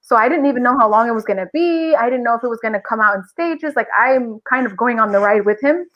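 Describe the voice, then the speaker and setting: A woman's voice: on the high side, fast, dull audio. A woman chatting casually and expressively as an enthusiastic voice actress guest on a podcast. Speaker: interview guest, audio drama voice actress.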